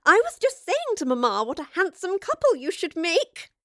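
A woman's voice: very high voice, wildly swooping pitch, normal speed, no room sound, studio quality, voice pushed up, exaggerated voice. A woman voicing a gossipy young merchant's daughter who speaks in a nasal, tittering voice. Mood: gushing. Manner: tittering, prying.

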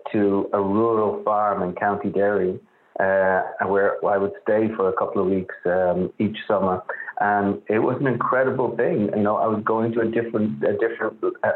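An Irish man, an author, answering interview questions earnestly and often repeating words as he searches for phrasing. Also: lowish voice. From Ireland. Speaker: author guest. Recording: echoey tinny phone-like line.

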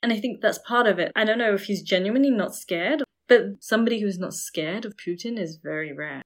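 A woman's voice: medium pitch, a little fast. A woman in a casual discussion, talking in an explanatory, opinionated way. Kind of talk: casual discussion of books and current affairs.

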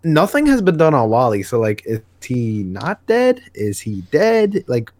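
A man's voice: medium pitch, huge pitch swings, unhurried, a little mellow, no echo. A man co-hosting a podcast, chatting casually about comics in a rambling, hesitant way and often correcting himself. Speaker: podcast co-host. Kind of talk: casual comics discussion chat.

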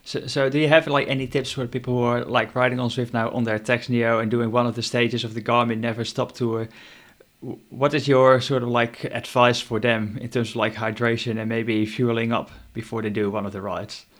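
A man's voice: medium pitch, flat delivery, a little fast, fairly bright tone. A man interviewing a guest, often repeating words as he works out his questions. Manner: hesitant, repeats words while forming questions.

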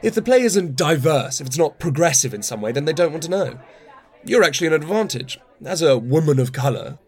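Fast-talking, garrulous older male playwright. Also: a little high, animated, darkish tone, slightly rough. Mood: opinionated, patronising. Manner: garrulous, opinionated, wry. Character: self-absorbed older male playwright, rambling, grumbling, patronising. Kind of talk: naturalistic radio play dialogue.

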